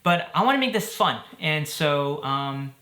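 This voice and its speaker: A man's voice: on the high side, lively intonation, unhurried, bright, forceful tone, reverberant. A man from Belgium in his thirties, hosting a casual talk to camera in an upbeat, chatty way. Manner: upbeat and chatty, with fillers and jokes. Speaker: host.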